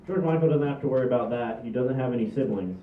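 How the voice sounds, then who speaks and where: A man's voice: mid-range voice, quick pace, natural voice. A man reading the part of an earnest, protective father in a comedy script at a table read. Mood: defensive. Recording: distant, echoey, phone-like noisy live recording.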